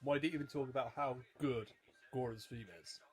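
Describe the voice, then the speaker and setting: A man's voice: medium pitch, fairly even intonation, fairly quick, soft background music. A twenty-year-old man giving casual rewatch commentary, rambling in stop-start phrases with repeated words and frequent chuckling. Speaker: YouTube reviewer.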